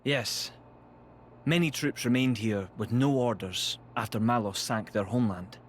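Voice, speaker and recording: Game cutscene officer , male actor: grave, polite imperial commander, slow delivery, faint hum behind. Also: mid-range voice, natural voice. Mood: grave, regretful. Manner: formal, measured, courteous. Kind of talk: video game cutscene acting.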